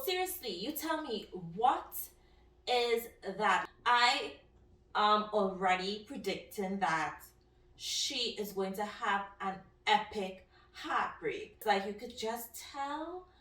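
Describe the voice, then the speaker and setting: A woman giving casual, expressive book reactions as a vlogger, talking in an emphatic, conversational way. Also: mid-range voice. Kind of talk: casual reaction and review to camera. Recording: roomy echo, distant microphone.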